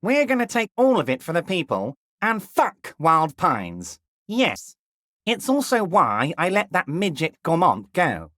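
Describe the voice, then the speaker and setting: An actor playing a heavyset, smooth-talking male union boss, honeyed and self-satisfied with a menacing charm, in game voice acting. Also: a little high, wildly swooping pitch, unhurried, a bit bright, dry recording, studio quality, heavily acted. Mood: rallying.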